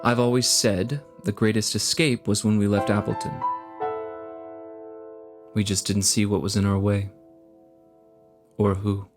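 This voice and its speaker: A man's voice: on the low side, measured pace, pauses often, over music, lightly acted. An actor playing an eager, cocky young male magician in a theatrical musical audio drama. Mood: reflective. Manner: theatrical, animated.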